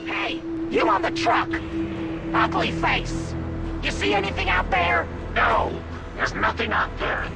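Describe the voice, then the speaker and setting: An actor voicing a dim, cranky ghoul raider in a video game, crude and squabbling, in a high creaky voice. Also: male voice, big pitch swings, takes it slowly, exaggerated voice. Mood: bickering. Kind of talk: video game character dialogue. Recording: voice under loud music and machinery.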